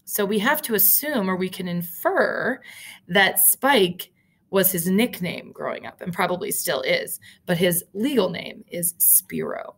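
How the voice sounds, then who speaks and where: A middle-aged woman teacher reading a storybook aloud, with deliberate, explanatory asides. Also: on the low side, expressive, a bit bright, slightly rough. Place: small indoor room with photo-covered wall.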